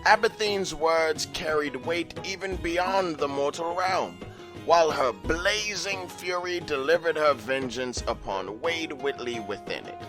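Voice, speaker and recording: A man's voice: fairly high pitch, measured pace, over music, lightly acted. An actor voicing Alabaster, a male tavern host narrating with a theatrical flair. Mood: storytelling. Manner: theatrical storytelling.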